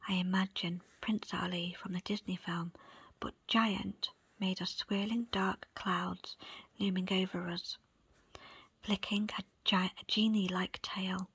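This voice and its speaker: A woman reading a book in a breathy ASMR whisper, with soft, unhurried, deliberate phrasing. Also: medium pitch, steady tone, no echo. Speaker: book presenter and reader.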